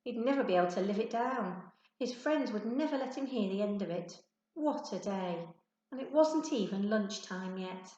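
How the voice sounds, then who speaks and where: A woman giving an expressive children's storybook narration, like a teacher reading to her class. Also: mid-range voice, normal speed, lo-fi sound. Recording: echoey untreated room.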